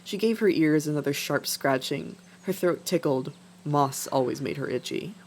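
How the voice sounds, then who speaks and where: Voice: medium pitch, animated, moderate pace, a little hiss or hum. A narrator reading an animal adventure story as scripted narration. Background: faint background music.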